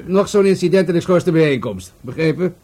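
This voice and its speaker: An actor playing a patient, wry police commissioner with a husky voice, questioning his men in a radio play. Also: fairly high pitch, a little fast. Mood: firm, calming. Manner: questioning, teasing, calmly authoritative.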